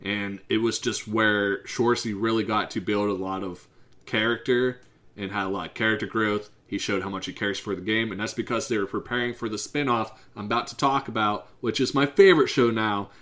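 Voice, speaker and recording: A hoarse man with a Pittsburgh accent hosting his own podcast, talking in a loose, unplanned monologue that keeps speeding up. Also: lowish voice, fairly even intonation, fairly bright tone. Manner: rambling and unscripted, drifts into fast talk. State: hoarse from a bad cough. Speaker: podcast host. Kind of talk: casual solo podcast monologue.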